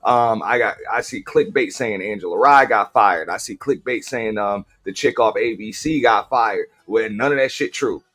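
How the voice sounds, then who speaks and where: A man's voice: on the low side, moderate pace, few pauses, a bit bright. A man hosting a casual live-stream talk show, speaking bluntly with a lot of profanity. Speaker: host.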